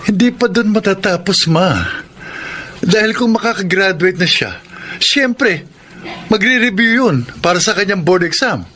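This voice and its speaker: A man's voice: high-pitched, measured pace, pushed and bright, slight background noise. A man playing a frustrated, complaining young Filipino husband in a radio drama.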